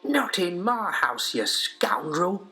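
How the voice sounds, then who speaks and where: A man's voice: high voice, very animated, slow pace, sharp tone, slightly rough, soft background music, voice pushed up, full character voice. A man voicing a brave, spirited little old grandmother in a storybook tale. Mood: indignant. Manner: spirited, plucky.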